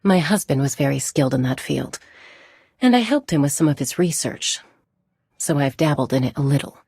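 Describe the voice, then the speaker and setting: A woman's voice: deep voice, animated, normal speed, gravelly, a little mellow, slightly rough, no echo, clean studio sound, exaggerated voice. A woman playing a composed, reserved female scholar in video game dialogue, speaking precisely.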